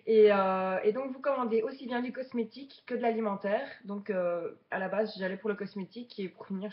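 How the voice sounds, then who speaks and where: A woman's voice: mid-range voice, highs cut off. A woman of twenty-seven from Belgium, chatting casually to viewers as a beginner vlogger during an unboxing. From Belgium, Charleroi region.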